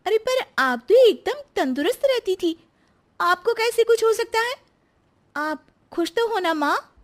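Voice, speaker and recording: Sharp-tongued young wife played by a woman, shrill-high and unhurried, complaining through a moral cartoon tale. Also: animated. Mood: lighter, familiar. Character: sharp-tongued, complaining young daughter-in-law in a family quarrel. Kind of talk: dramatised Hindi moral cartoon story.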